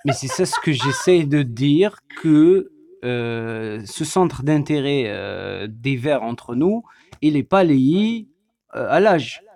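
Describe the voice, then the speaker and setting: A man's voice: mid-range voice, lively intonation, slow, vocal fry, a little mellow. A man co-hosting a casual chat, talking hesitantly with many fillers as he lists his points. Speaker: co-host.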